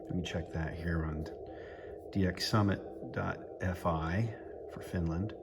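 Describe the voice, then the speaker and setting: A man's voice: deep voice, fairly even intonation, a little slow, vocal fry, heavy background noise. An American man giving a casual running commentary as he operates a radio, thinking aloud and muttering to himself. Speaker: amateur radio operator and vlogger.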